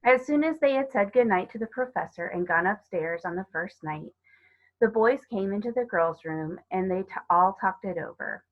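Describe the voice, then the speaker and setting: A woman narrating a children's story aloud in a measured, expressive read-aloud way. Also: mid-range voice, phone-quality sound. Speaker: storybook reader.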